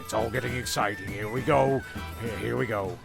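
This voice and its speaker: A gravelly-voiced man narrating an amateur comedy audio drama in a rambling, halting way, often repeating his phrases. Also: fairly low pitch, music in the background.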